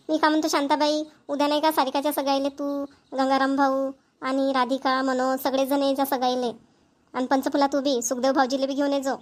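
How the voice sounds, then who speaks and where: A woman plays a busy middle-aged cartoon mother from a village, high in pitch and very quick. She sounds hurried and practical, with a Vidarbha Marathi accent. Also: natural voice. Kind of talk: amateur cartoon voice acting.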